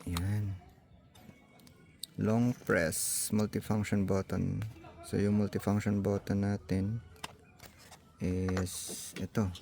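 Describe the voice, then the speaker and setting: Male Filipino vlogger, deep gravelly voice, casual unboxing style, sprinkles in English tech terms. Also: mellow. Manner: tentative, mixes English technical words into his talk. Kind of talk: casual hands-on unboxing and gadget tutorial, thinking aloud.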